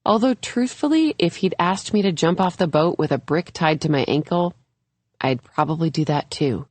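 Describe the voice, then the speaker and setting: Low-voiced woman narrating an audiobook. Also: expressive, moderate pace, a little mellow, no room sound. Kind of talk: scripted first-person thriller audiobook narration.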